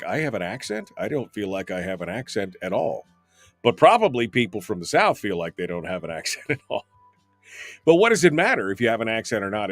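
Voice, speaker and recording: A man's voice: lowish voice, fairly bright tone. A man hosting a talk radio show, reading listener comments aloud and answering them with opinionated, rambling remarks full of ums and restarts.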